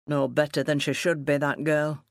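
A woman's voice: sits low, flat delivery, moderate pace, no room sound, studio quality. A woman voicing a stern, gaunt farmer father in an audiobook, with a growling, sarcastic manner and rustic dialect. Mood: suspicious, scornful. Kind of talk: audiobook character dialogue.

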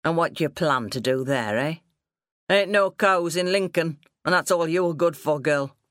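A woman's voice: low voice, moderate pace, no echo, clean studio sound, close to an everyday voice. A woman voicing a stern, gaunt farmer father in an audiobook, with a growling, sarcastic manner and rustic dialect. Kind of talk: audiobook character dialogue.

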